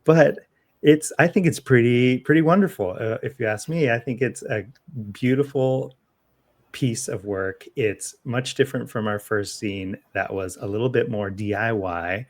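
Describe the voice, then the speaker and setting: A man's voice: medium pitch, normal speed. A man co-hosting a local news podcast in casual chat, with a playful, digressive way of talking.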